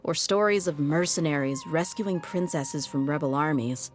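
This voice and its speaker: A woman's voice: deep voice, moderate pace, music in the background, natural voice. A woman voicing a tough, jaded young mercenary in a video game, speaking frankly and wryly. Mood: wry, reflective. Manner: frank, wry, world-weary. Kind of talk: video game voice acting, story dialogue.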